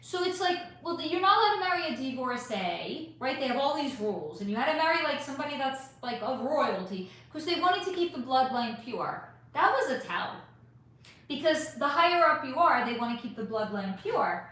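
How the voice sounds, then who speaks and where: A woman with a slightly high, somewhat hoarse voice runs a casual live stream, answering her chat in a rambling, emphatic way and swearing now and then. The sound is drenched in strong room echo. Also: sing-song, moderate pace, a bit bright. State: voice going hoarse. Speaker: psychic channel host reading live chat. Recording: heavily echoey room.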